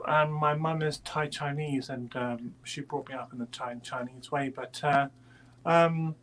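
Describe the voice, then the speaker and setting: Woman, middle-aged, from Sweden, interview guest, slow deliberate speech, video call. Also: sits low, fairly even intonation, low-quality recording. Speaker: guest musician. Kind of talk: casual interview chat.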